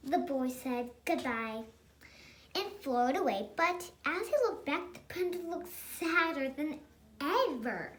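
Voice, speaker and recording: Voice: high-pitched, lively intonation, takes it slowly, fairly bright tone. A child reading a picture book aloud expressively, with chatty asides. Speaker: storybook reader.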